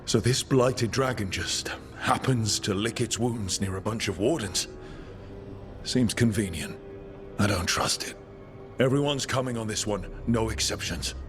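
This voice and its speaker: Resolute fantasy game hero played by a man, lowish voice, commanding and measured, music behind. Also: lightly acted. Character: steady, resolute male hero leading a team. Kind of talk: video game voice acting.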